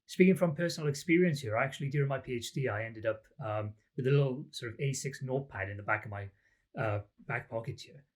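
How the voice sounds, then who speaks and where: A middle-aged man with a Welsh accent, chatting as an interview guest with relaxed, self-deprecating answers full of ums. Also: medium pitch, fast. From Wales. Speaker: academic guest, lie detection researcher.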